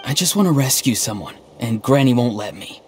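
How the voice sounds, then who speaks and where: A man's voice: medium pitch, sing-song, fairly quick, heavy background noise, natural voice. An actor playing an earnest, naive young man in a video game, speaking in a literal, understated way. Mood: earnest, pleading. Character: earnest, naive, deadpan young man, an odd gentle hero.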